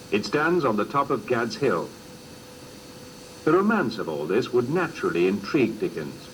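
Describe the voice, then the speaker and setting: A man reading scripted documentary narration in a measured, formal storytelling manner, on an old cassette recording. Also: mid-range voice, a bit bright, a little hiss or hum, poor audio.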